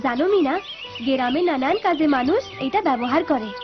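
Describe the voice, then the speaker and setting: A helpful village big sister from a cartoon, speaking a rural Bangladeshi dialect. She is high-pitched and reassuring, with music and birdsong behind a dull recording. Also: expressive, a little fast. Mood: explaining. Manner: reassuring, explanatory. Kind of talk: cartoon voice acting. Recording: muffled lo-fi soundtrack, music, chirping birds.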